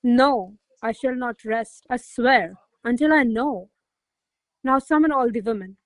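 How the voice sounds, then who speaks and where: Woman as imperious noble lady, high voice, hot-tempered, commanding, theatrical radio drama, close dry sound. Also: unhurried, dry recording, lightly acted. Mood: indignant, sarcastic. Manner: haughty, commanding, theatrical exclamations. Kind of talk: radio play acting of a classic comedy.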